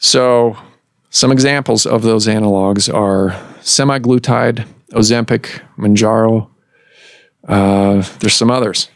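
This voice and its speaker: A man hosting a medical podcast as a doctor, explaining in a measured way with some fillers, recorded in a clinic office. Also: on the low side, steady tone, no echo, clean studio sound.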